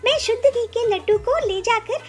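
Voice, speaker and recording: A woman's voice: very high voice, normal speed. A woman voicing a cheerful, devoted young daughter bird in a children's cartoon story. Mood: reassuring, determined.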